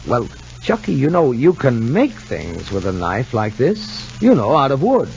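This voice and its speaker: A lively-pitched, kindly doctor. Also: male voice, a little slow, vocal fry, faint music behind, exaggerated voice. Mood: coaxing, patient. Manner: gentle, encouraging, folksy. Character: kindly, patient male doctor coaxing a child. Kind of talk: 1950 radio soap opera acting. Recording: muffled, noisy 1950 radio recording.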